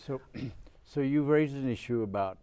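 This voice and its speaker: A man hosts a television interview. He has a mellow, dark voice and asks his questions slowly and deliberately, with plenty of encouragement and drawn-out phrasing. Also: medium pitch, clear, resonant tone.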